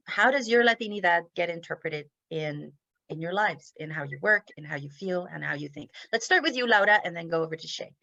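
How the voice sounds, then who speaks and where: A woman's voice: medium pitch, sharp tone. A woman moderating a panel discussion, introducing the guests with many hesitant fillers. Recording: dull lo-fi audio.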